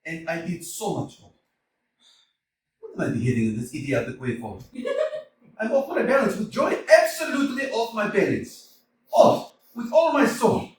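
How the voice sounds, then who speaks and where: Guy playing a jolly fussy old landowner dad, highish voice, far away in a big echoey room. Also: big pitch swings, normal speed, long pauses. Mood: overjoyed. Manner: effusive, booming, laughs often, pet names. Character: jovial gushing older landowner, father of the bride. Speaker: actor in a staged reading. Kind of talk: staged play reading. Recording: roomy hall from a distance, faint hiss.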